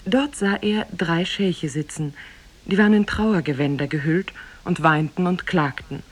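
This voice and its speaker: An actress narrating an Arabian Nights tale in a measured, formal storytelling manner for a 1950s German radio play. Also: female voice, lowish voice.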